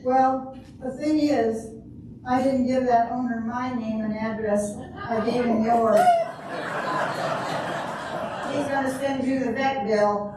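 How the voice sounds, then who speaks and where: Older woman playing a grumpy, higher-voiced lady, echoey hall. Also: steady tone, measured pace, lightly acted. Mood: sheepish, pleading. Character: grumpy, cheeky older woman on a beach trip. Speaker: amateur readers theater performer. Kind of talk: comedic stage reading. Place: large echoey room before an audience. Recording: echoey hall from far off, noisy.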